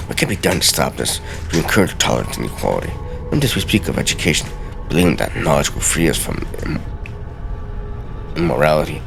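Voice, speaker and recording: A man with an extremely deep, creaky voice reads video game text aloud in a theatrical style. His delivery is deliberate yet widely varied in pitch, with an occasional stumble, and music plays clearly behind him. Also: sing-song. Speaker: let's play host. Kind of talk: dramatic reading of video game journal pages.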